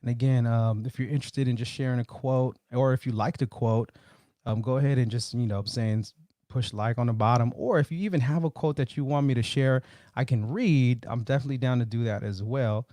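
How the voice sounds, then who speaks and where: A man's voice: medium pitch, fairly even intonation, a little fast, warm tone, no room sound, clean studio sound. Man hosting a casual motivational livestream. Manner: informal, brotherly way of addressing people. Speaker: livestream host.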